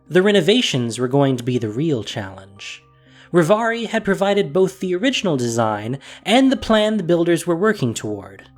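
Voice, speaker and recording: A man narrating a fantasy audio drama with expressive storytelling. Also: medium pitch.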